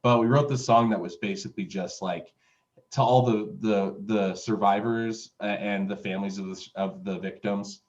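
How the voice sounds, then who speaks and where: Man, twenties, from utah, fairly low voice, full of fillers and restarts, lo-fi recording. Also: steady tone, normal speed, darkish tone. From Utah, USA. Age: 20s. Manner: rambling, with many fillers and restarts. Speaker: music producer and interview guest. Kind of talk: casual interview storytelling. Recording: dull, lo-fi sound.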